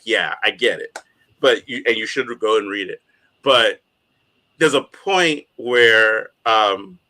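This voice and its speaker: A man co-hosting a casual podcast, talking in an animated way with frequent laughs and plenty of fillers. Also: on the low side, rough recording. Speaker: podcast co-host. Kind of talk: casual chat.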